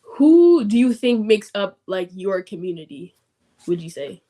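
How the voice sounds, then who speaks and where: Teen girl podcast host, mid pitch, loose hesitant delivery with lots of fillers. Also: sing-song, a little slow, mellow. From Nigerian family background. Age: teens. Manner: hesitant, many ums and likes. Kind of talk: casual interview chat.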